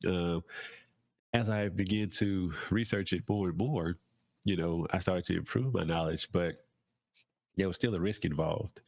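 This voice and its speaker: Host, male, stuffed-up nasal voice, very low and gravelly, dry close sound, loose rambling delivery. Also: a little slow, darkish tone, no echo. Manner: rambling, many fillers and restarts. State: congested, nasal from a cold. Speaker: podcast host. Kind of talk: casual solo talk.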